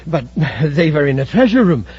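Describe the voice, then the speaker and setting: An actor playing a submissive, apologetic, dim male henchman with a husky voice in a 1950s radio serial. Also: fairly high pitch, big pitch swings, moderate pace, a little mellow. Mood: cowed. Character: cowed, dim, trigger-happy henchman of a villainess. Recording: muffled old radio recording.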